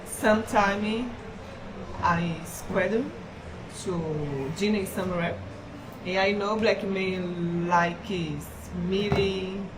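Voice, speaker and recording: A Brazilian woman speaking halting broken English with a Brazilian Portuguese accent, a native Portuguese speaker. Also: medium pitch, steady tone. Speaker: guest's wife. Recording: echoey room with background noise.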